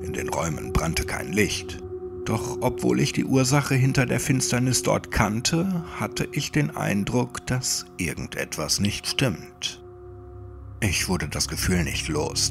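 A man narrating a scripted first-person horror story like an audiobook, in a measured, suspenseful manner. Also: lowish voice, vocal fry.

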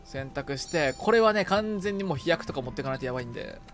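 A man with a fairly high voice talks casually on a game stream, with music playing behind him. His manner is playful and jokey, like someone bantering with a co-op partner. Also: lively intonation, fast, fairly bright tone. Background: video game music.

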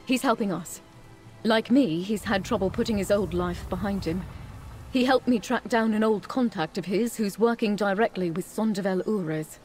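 An actress playing a solemn, grieving but resolute female ally in a video game, serious and deliberate. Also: medium pitch, darkish tone, soft background music, natural voice.

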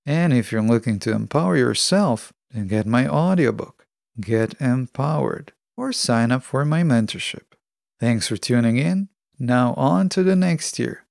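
A middle-aged man hosting a podcast, delivering a scripted motivational monologue in a measured, deliberate way with emphatic pauses. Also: medium pitch, animated, creaky, a little mellow, no echo, studio quality. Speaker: podcast host.

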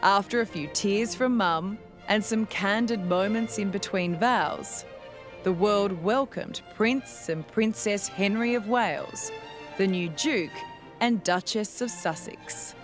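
A woman's voice: mid-range voice, slow pace, music in the background. A woman with an Australian accent reading scripted narration as a television reporter.

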